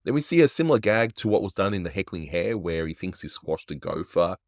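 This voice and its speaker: Deep-voiced man reviewing cartoons casually. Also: a little fast, no room sound, clean studio sound. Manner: relaxed, offhand, chuckles often. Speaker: animation reviewer, host.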